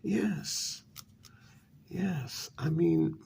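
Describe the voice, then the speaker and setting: A man playing a slow, gravelly aristocratic vampire. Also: a little high, darkish tone, slight background noise, heavily acted. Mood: condescending, indignant. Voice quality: gravelly, breathy, often dropping to a whisper. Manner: theatrical, condescending, deliberate, drawn-out delivery. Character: cruel, haughty high-society male vampire, menacingly amused. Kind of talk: ASMR role-play monologue.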